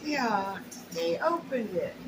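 Slow-talking middle-aged woman, noisy recording. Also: mid-range voice, animated. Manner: folksy, colloquial, unhurried. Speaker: haul vlogger. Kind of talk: casual unboxing chat. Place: small room at home, indoors. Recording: noisy home camera recording.

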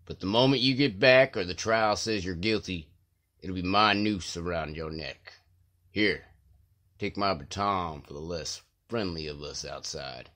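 A man's voice: deep voice, a little slow, bright, forceful tone. A man playing a weary, sardonic prison guard who is grudgingly helpful, in video game dialogue. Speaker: amateur voice actor auditioning.

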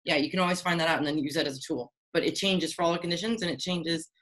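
A woman's voice: low-pitched, fast, fairly bright tone, low-quality recording. Upbeat chatty woman on a video call. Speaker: Olympic sailor answering youth questions. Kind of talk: casual online Q&A.

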